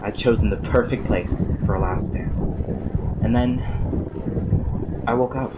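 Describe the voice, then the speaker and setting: An actor playing a wistful, nerdy storm chaser who survived the apocalypse, rambling reflectively in a radio drama monologue. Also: male voice, measured pace, close to an everyday voice. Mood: awestruck. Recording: noisy radio transmission, vehicle rumble.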